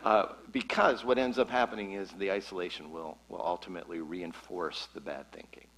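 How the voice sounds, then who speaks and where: A man's voice: deep voice, steady tone, brisk, fairly bright tone, rough recording. A man hosting a church conversation as pastor, casual and self-correcting as he talks.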